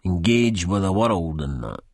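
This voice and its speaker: Husky Edinburgh waster, nervous and rambling. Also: male voice, sits low, big pitch swings, measured pace, a little mellow, no room sound, studio quality, exaggerated voice. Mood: downcast, rambling. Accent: Scottish, Edinburgh. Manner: rambling, colloquial, self-deprecating. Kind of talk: first-person audiobook narration in dialect.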